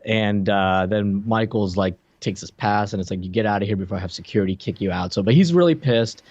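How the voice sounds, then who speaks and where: A man's voice: fairly low pitch, fairly quick, darkish tone. A man co-hosting a podcast, casually commenting and explaining details in a conversational way. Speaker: podcast co-host. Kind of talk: casual chat, commentary on a TV episode. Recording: slightly dull, lo-fi sound.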